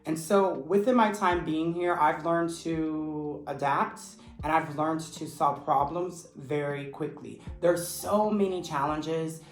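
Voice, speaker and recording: A man who teaches English, giving a steady, earnest explanation in vlog style. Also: a little high, slow pace, few pauses. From United States. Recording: echoey room with faint music. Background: faint background music.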